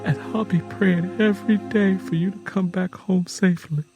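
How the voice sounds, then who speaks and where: A man's voice: high-pitched, fairly even intonation, normal speed, music in the background, pitched above the performer's own voice, close to an everyday voice. A man voicing a loving, anguished Southern mother who speaks with tender, earnest pleading in a dramatic audiobook story. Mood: tender, urgent.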